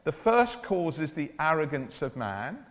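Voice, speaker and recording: A man preaching a church sermon in an earnest, deliberate way, with declarative phrasing. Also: on the high side, sing-song. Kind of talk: evangelical church sermon with Bible reading and prayer. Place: large church or hall, speaking to a congregation. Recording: reverberant hall, long echo.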